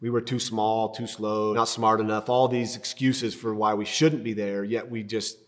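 A man's voice: lowish voice, little pitch movement, moderate pace. A man who used to play football, reminiscing in a documentary interview. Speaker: former football player.

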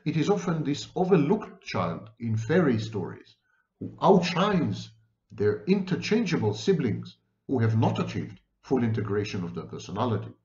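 A man in his fifties giving a formal lecture to camera, reading out quotations and commenting in a deliberate, emphatic manner. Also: medium pitch, very animated, a little mellow. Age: 50s. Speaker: professor lecturing. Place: small room indoors. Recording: roomy, echoey, dull lo-fi webcam sound.

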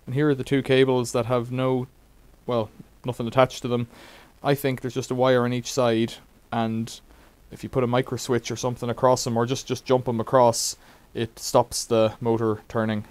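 A man's voice: medium pitch, moderate pace, few pauses, clean tone, no room sound. Chatty man in a bike workshop. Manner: relaxed, rambling, wry asides. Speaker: bike repair vlogger. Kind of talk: casual how-to demonstration. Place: cluttered bike workshop indoors.

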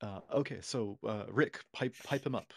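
A man's voice: fairly low pitch, moderate pace. Hesitant man running a tabletop game. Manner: hesitant, many fillers and restarts. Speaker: game master.

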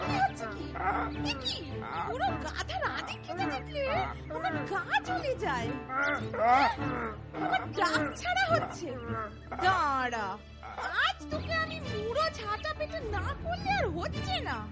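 A woman's voice: very high voice, huge pitch swings, measured pace, full character voice. A woman voicing a hot-tempered woman of the house in a cartoon, exclaiming shrilly over music. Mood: furious, threatening. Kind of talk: scripted cartoon voice acting.

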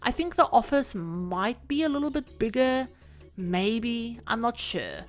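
A woman recording a casual, rambling voiceover for a home-building game video, her voice a little croaky from being sick. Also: a little high, very animated, a little slow, faint music behind. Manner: rambling, chatty, many fillers. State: croaky from illness. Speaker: Sims build creator. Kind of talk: casual voiceover over gameplay.

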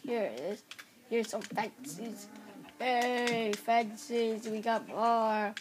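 A woman's voice: a little high, very slow, background noise. A young female player rambling through casual Minecraft commentary, squealing at small wins, recorded on a phone aimed at a TV. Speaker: Minecraft player filming her screen. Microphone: phone camera. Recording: phone camera aimed at a TV, music in background.

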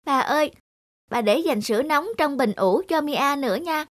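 High-pitched earnest cartoon child. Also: female voice, lively intonation, moderate pace. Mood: worried.

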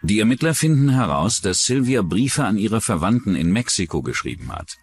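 A man's voice: fairly low pitch, sing-song, normal speed, breathy edge, soft background music. A man narrating a scripted true-crime documentary.

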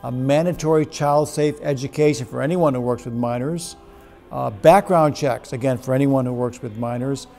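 A middle-aged priest argues his point insistently in a documentary interview. His voice is gravelly and warm, recorded close in a dim indoor room with soft music beneath. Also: medium pitch, normal speed. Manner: emphatic, insistent.

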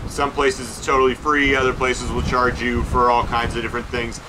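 A man talking through a list of costs aboard a sailboat, calm and practical, stopping often on "uh" and "um". His pitch sits in an ordinary middle range. Wind and boat noise run clearly behind him. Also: a little fast. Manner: matter-of-fact, listing figures with many uh fillers. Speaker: sailing vlog co-host. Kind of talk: casual expense breakdown.

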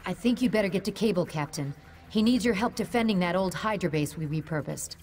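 A woman's voice: on the low side, lively intonation, brisk, darkish tone, a little hiss or hum. An actress playing a self-assured, determined female superhero in a video game. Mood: approving. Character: Spider-Woman, confident, determined female superhero ally.

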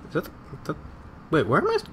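A man's voice: on the high side, wildly swooping pitch, very fast, a bit bright, a little hiss or hum. A man giving casual gameplay commentary, muttering to himself, repeating phrases and swearing. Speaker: gamer recording a let's play.

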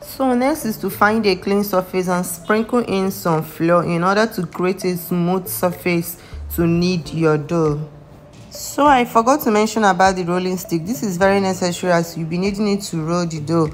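A woman's voice: lowish voice, moderate pace, slight background noise. A young Nigerian woman with a Nigerian accent, hosting a home cooking channel and walking viewers through a recipe step by step in a friendly way. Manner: friendly step-by-step instruction with some non-standard phrasing. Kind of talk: voice-over cooking tutorial. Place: home kitchen. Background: soft background music.

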